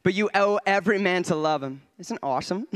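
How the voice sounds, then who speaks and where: A young man, a guest preacher, giving an excitable testimony in church on a handheld mic, often laughing and swinging between quiet asides and loud bursts. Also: sits high, moderate pace. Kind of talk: testimony sermon. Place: church stage before a congregation. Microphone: handheld microphone.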